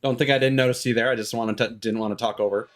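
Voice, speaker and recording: A man's voice: medium pitch, fairly even intonation, fast talker. A man hosting a livestream, giving casual, rambling, hesitant commentary on a game and chatting to viewers. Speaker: livestream host.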